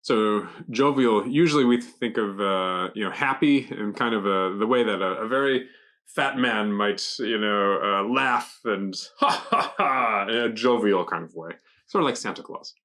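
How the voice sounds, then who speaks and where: A man casually explaining English vocabulary off the cuff, pausing to think and offering loose examples. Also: sharp tone. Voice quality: gravelly, low. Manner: halting, thinking aloud with examples. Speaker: guest explaining English words. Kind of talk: casual unscripted word explanations.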